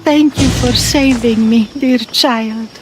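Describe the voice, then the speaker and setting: Sorry old oracle grandma, humble and pleading, high voice, game cutscene w music. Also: female voice, takes it slowly. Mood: remorseful. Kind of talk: video game cutscene dialogue.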